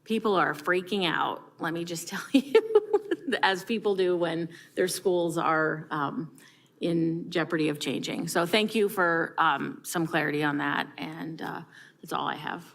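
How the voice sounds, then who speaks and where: A woman's voice: lowish voice, unhurried, gravelly, fairly bright tone. An American woman speaking conversationally as a council member in an informal meeting discussion, feeling her way through points. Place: large council chamber, indoors.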